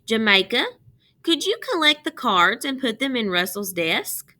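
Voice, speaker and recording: A woman's voice: fairly high pitch, sing-song, a little slow, sharp tone, full character voice. A woman voicing a friendly, orderly schoolteacher in a storybook, giving her class polite, projected instructions. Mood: brisk, polite. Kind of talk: storybook read-aloud.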